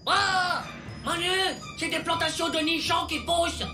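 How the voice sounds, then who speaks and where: A woman voicing a cheeky, excitable ten-year-old schoolboy in a French cartoon, with slangy, mouthy delivery. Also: extremely high pitch, measured pace, music in the background. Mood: panicked. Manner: slangy, mouthy, whiny outbursts. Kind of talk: French animated cartoon acting.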